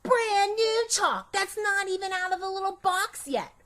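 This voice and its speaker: A woman voicing a chatty, imaginative, bossy little girl in an animated children's storybook read-aloud, with childish, emphatic phrasing. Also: very high-pitched, a little slow, voice pushed up, full character voice. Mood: excited.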